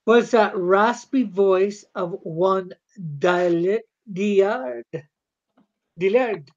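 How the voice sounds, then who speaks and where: A man's voice: high-pitched, extremely slow. Chatty man on a dull lo-fi stream. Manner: chatty, laughs often, trails off mid-word. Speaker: livestream co-host reading chat. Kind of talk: casual livestream chat.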